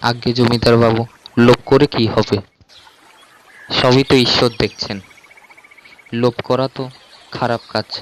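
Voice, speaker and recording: A man's voice: medium pitch, flat delivery, measured pace, long pauses, lightly acted. A man playing a poor, honest village farmer who is respectful and earnest, in a cartoon story. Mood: humble, pious.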